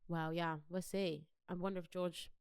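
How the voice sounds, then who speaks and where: A woman's voice: fairly low pitch, level tone, normal speed, smooth, no echo. Young british woman chatting casually on a podcast, dry close sound, says like a lot. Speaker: podcast co-host. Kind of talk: casual chat about pop culture.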